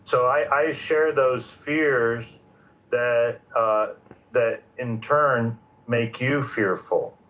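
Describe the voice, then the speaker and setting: A man hosting a live audio discussion who speaks in a halting, earnest way, searching for his words. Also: mid-range voice, fairly bright tone, highs cut off.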